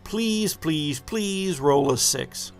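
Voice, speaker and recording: A man acting as game master for a tabletop role-playing game, improvising narration and table chat in halting, deliberate phrases. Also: a little high, lively intonation, faint music behind.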